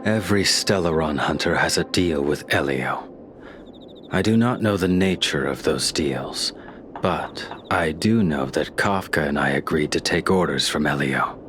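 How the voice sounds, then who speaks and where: An actor playing a grave, weary swordsman in a video game, speaking in a solemn, halting, hushed way. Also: a bass voice, a little hiss or hum. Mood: grave, reflective. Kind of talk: dramatic video game voice acting. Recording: music under the voice.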